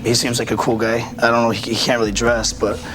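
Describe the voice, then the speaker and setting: A man's voice: mid-range voice, monotone, fast. A young man chatting casually and hesitantly as a game show panelist. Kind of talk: casual chat. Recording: clear background ambience noise.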